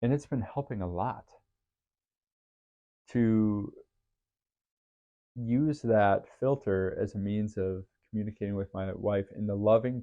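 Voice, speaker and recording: A man hosting a podcast, talking through his thoughts in an earnest, faith-based, reflective way with a deep voice. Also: phone-quality sound. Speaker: podcast host. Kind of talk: reflective solo podcast monologue.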